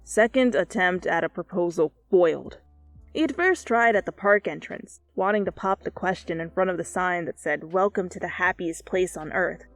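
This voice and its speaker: A woman's voice: fairly low pitch, no room sound. A woman narrating fan fiction as a dramatic storyteller in an ASMR style.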